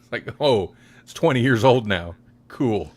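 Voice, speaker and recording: A man's voice: mid-range voice, expressive, a little slow. A man podcast host in casual, rambling chat, often correcting himself.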